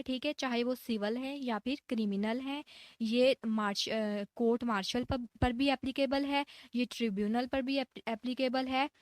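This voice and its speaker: Pakistani lady teaching law for exams, voice a bit high, keeps repeating points and checking in, no room echo. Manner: repetitive, emphatic teaching with frequent check-in tags. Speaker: law lecturer. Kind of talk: explanatory exam-prep lecture, mixing in English legal terms.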